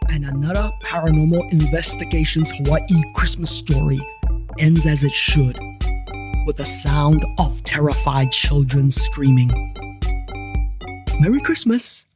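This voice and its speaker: A man's voice: a little high, a little slow. A man narrating a scripted, dramatic story as a podcast storyteller. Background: music under the narration.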